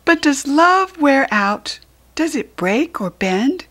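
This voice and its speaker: A woman's voice: high-pitched, very animated, takes it slowly, fairly bright tone, pitched above the performer's own voice, exaggerated voice. A woman voicing a grumpy, anxious little fox child in a storybook, asking plaintive, emphatic questions. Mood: anxious questioning.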